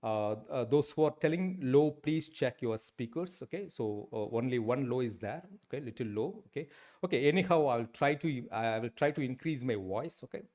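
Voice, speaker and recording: A man's voice: mid-range voice, animated, normal speed, a little mellow. Hesitant Indian man teaching online. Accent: Indian English. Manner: hesitant, many fillers and restarts. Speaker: instructor. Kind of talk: live online class. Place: indoor room, webcam.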